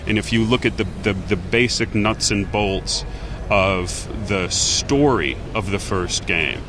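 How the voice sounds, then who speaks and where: A man giving an unscripted interview answer, halting and reflective. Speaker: screenwriter being interviewed. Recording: noisy interview with outdoor rumble.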